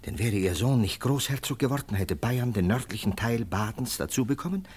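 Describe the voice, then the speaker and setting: A man playing a stern, sceptical police lieutenant in a radio drama, brisk and authoritative. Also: medium pitch, animated, darkish tone, husky. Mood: confidential, scheming. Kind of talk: German radio play acting.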